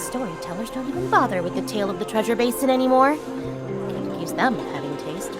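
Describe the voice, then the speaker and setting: A woman's voice: a little high, very animated, a little fast. An actress playing a playful, shrewd young woman merchant, teasing and coaxing, in a video game. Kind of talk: dramatic video game dialogue. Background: music.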